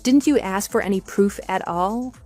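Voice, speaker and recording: A woman's voice: mid-range voice, moderate pace, a little mellow, dry recording, faint music behind, lightly acted. A woman playing a composed, matter-of-fact wife with a dismissive edge in a scripted audio drama. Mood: wry, mocking. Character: composed, wry wife divorcing her cheating husband.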